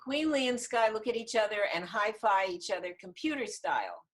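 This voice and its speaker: A woman's voice: mid-range voice, big pitch swings, normal speed, fairly bright tone. A woman narrating the stage directions of a screenplay in a scripted table read over a video call. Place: online video call reading.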